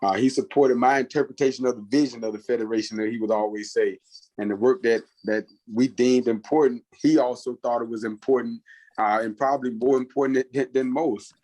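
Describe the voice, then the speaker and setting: A man calling in from outside a doctor's office, speaking an earnest tribute with halting restarts. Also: mid-range voice, fairly even intonation, dark tone, poor audio. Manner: earnest, halting with repeated words. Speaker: guest, executive director of a cooperative federation. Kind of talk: spoken tribute over a call. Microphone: phone or call audio.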